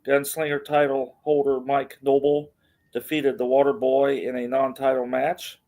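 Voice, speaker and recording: A middle-aged man hosts a casual wrestling talk show. His pitch is average, and he reads through announcements and results in a halting, informal way, feeling for the next item as he goes. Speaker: podcast host. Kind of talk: casual wrestling news rundown.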